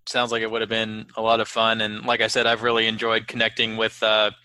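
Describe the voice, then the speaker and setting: Bright, clear-voiced male podcast host. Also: on the low side, completely flat delivery, fairly quick, dry recording, rough recording. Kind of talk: casual interview chat.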